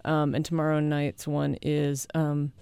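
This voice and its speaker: Low female voice, late thirties, clear tone, dry sound, casual guest talk, hesitant phrasing. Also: completely flat delivery, unhurried, dark tone, no room sound. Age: late 30s. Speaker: guest, needle-piercing performance artist and teacher. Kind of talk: casual interview chat.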